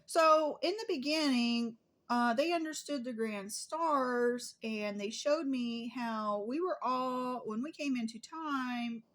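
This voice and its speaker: A woman talking casually and earnestly to her viewers as a spiritual vlogger, stating things declaratively and repeating phrases for emphasis. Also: on the high side. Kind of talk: casual spoken monologue to viewers.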